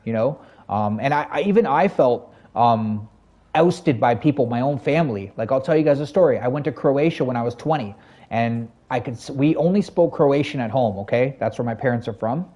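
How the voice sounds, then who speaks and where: A man's voice: medium pitch, animated, normal speed, mellow, dull audio. A Canadian man streaming a game, telling a long personal story to his chat in a rambling, casual way with many fillers. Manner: rambling, lots of fillers and self-corrections, talks to chat.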